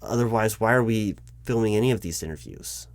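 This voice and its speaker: A man playing an exasperated corporate hiring manager in a one-sided interview roleplay, talking in a rambling, halting way with stammers and self-corrections. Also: lowish voice, no echo, natural voice. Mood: flustered, explaining. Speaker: roleplay performer. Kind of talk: improvised one-sided job interview roleplay, spoken aloud.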